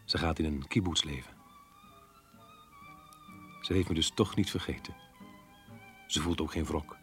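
A man's voice: very deep voice, a little slow, a bit bright. An actor playing a melancholy, resigned man who reads from his diary in a subdued, reflective way in a radio play. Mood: quietly grateful, wistful. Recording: roomy sound with music behind.

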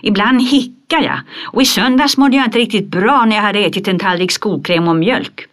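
A brash, cheerful little girl from a storybook, higher and louder than the reader's own voice, as a woman puts her on. She talks eagerly and with total self-assurance, piling one wild claim on the next. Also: lightly acted. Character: bold cheerful girl, red-haired, full of tall tales.

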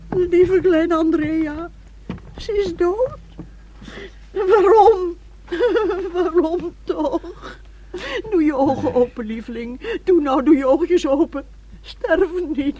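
An actress in a radio play playing a strict, emotional middle-aged mother with a drawn-out, dramatic delivery. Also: extremely high pitch, dark tone, dull audio, heavily acted. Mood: anguished grief. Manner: emotional, drawn-out, scolding or pleading.

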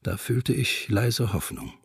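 A man's voice: fairly low pitch, very animated, slow, creaky, husky, no echo, clean studio sound, exaggerated voice. A man reading a scripted, reflective chronicler's account of life after a disaster for a radio documentary. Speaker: reader of a witness account.

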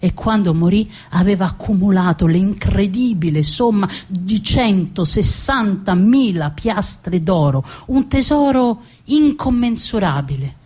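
A woman playing a proud, defiant noblewoman who tells her own story as a dramatic, deliberate theatrical monologue with emphatic repetitions. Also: mid-range voice, expressive, warm tone, husky. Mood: solemn, proud.

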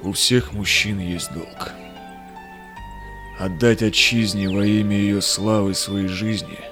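A man's voice: on the low side, fairly even intonation, slow, fairly bright tone, soft background music. An actor playing a weary Cossack explorer and father in an audio drama, speaking in a solemn, declamatory way. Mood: solemn, resolute. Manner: grave, declamatory. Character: weary, dutiful male Cossack explorer returned home, a father. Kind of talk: solemn audio drama acting in verse and old chronicle prose.

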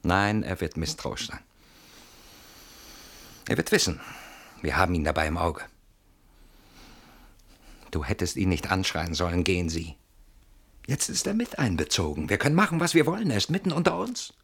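A brooding, besieged husband in a radio play, very low and husky in voice. His speech swings widely in pitch as he frets and argues, stubborn and suspicious of everything. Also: big pitch swings, moderate pace, pauses often, fairly bright tone, full character voice. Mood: agitated, suspicious. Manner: agitated, brooding, argumentative. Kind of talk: German radio play acting.

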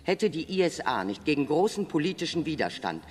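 Defensive male agency spokesperson: animated intonation, pointed and formal, long hall reverb. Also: on the high side, a little fast. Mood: defensive, impatient. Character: defensive, officious space agency press spokesperson. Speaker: radio drama actor. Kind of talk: press conference statement in radio drama.

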